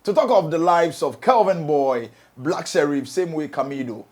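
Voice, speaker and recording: A man's voice: on the high side, lively intonation, moderate pace, darkish tone. Ghanaian man hosting an entertainment show. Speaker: show host and MC. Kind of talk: entertainment talk show, discussing music news.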